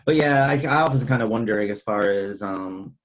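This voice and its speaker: A man's voice: lowish voice, a little fast, low-quality recording. Man hosting a casual podcast. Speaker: podcast host. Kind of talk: casual chat.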